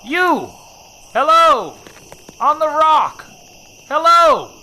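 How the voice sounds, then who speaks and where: Bright-voiced, anxious gentleman Doctor Watson. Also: very high-pitched, sing-song, drawn-out words, slight background noise, exaggerated voice. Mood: alert, excited. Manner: hesitant, polite. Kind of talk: audio drama acting.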